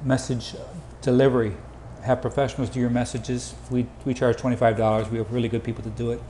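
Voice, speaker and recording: Man presenting in an echoey room, mellow tone. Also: medium pitch, level tone. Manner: hurried, clipped phrasing. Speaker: company sales presenter. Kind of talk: informal slide presentation pitch. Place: indoor room, voice-over for slides. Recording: roomy echo, faint background noise.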